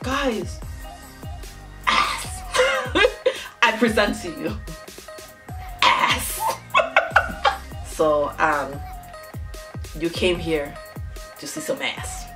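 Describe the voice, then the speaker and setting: A woman's voice: low-pitched, very animated, very slow, long pauses. A young woman in her twenties with a slight Nigerian accent, reacting to a music video from her bedroom with giggly, exclamatory commentary and frequent laughter. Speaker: YouTube reactor. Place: small bedroom, indoors.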